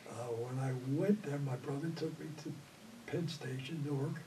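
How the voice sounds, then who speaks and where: A man's voice: mid-range voice, normal speed, warm tone. An older man, a Navy veteran, telling his memories in a rambling, chuckling way in an oral history interview. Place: indoor studio before green backdrop. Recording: distant mic, audible room noise.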